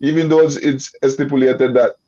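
A young man from Nicaragua speaks English with a Creole accent, his voice dark and mellow. He rambles warmly and restarts his sentences, leaning on "you know". The video call audio sounds dull and lo-fi. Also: medium pitch, fairly even intonation, moderate pace. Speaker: guest. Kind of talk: casual video-call interview. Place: indoor room.

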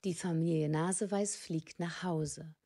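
A woman's voice: sits low, fairly even intonation, a little slow, warm tone, slightly rough. A woman narrating a children's story in a scripted audio drama.

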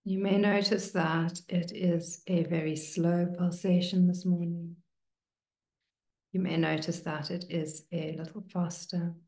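A woman meditation teacher leading a guided meditation in a hushed, soothing manner, leaving long pauses between short phrases. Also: lowish voice, little pitch movement. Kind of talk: guided meditation, slow and spoken to listeners. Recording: roomy, echoing sound.

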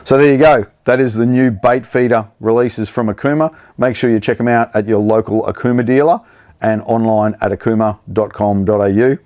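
An Australian man in his forties talks through fishing reels on a studio set, his delivery brisk and upbeat like a friendly salesman. His voice sits slightly low, and the recording is dry with no room echo. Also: fairly even intonation, darkish tone. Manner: upbeat, enthusiastic salesman patter. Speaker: fishing tackle presenter. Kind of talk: product demonstration. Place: indoor studio set with product table.

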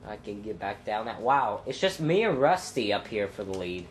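A young man with a stuffy nose and a clogged throat, commenting casually on his own racing game and thinking aloud with ums and sudden loud exclamations. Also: on the low side, big pitch swings, normal speed, soft background music. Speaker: gameplay commentator. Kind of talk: casual let's-play commentary.

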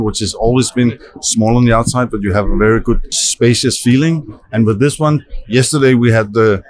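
A man representing a carmaker at an indoor expo, answering questions with enthusiasm and restarting phrases as he goes. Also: mid-range voice. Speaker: car exhibitor. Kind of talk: casual interview answer. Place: indoor car expo hall.

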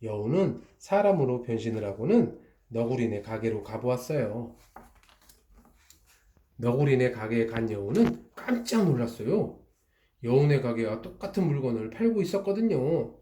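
A father reading a children's storybook aloud, in a slow, gentle, drawn-out way. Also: mid-range voice, sing-song, slight background noise. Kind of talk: storybook narration. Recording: echoey room.

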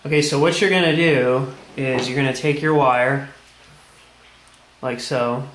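A man's voice: medium pitch, fairly even intonation, a little slow, a bit bright. A man giving a casual hands-on how-to demonstration, explaining plainly step by step. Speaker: tutorial presenter. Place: indoors at a tiled tabletop. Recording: echoey room, background noise.

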